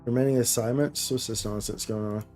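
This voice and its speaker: A man livestreaming games with a mellow, relaxed voice. He talks in loose, slangy chat full of bro-speak and doubles back on phrases for emphasis, with faint music underneath. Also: medium pitch, a little fast. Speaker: game streamer, host. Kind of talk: casual livestream chat while gaming.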